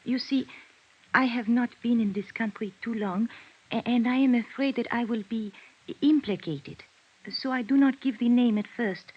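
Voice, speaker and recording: High-voiced, timid French witness. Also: female voice, normal speed, husky. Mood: timid, apologetic. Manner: hesitant, apologetic, broken English. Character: timid, frightened young Frenchwoman, a reluctant witness. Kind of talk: 1950s radio detective drama acting. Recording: dull vintage radio recording.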